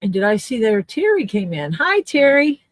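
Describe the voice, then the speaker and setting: A woman's voice: fairly high pitch, very animated, moderate pace, no room sound, clean studio sound. A woman chatting casually on a livestream while she works on leather, explaining her tools step by step. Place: home workspace.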